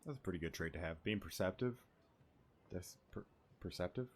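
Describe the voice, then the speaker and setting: A man's voice: low voice, brisk, slightly rough. A man streaming a game, giving informal, joking let's play commentary. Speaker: gaming streamer.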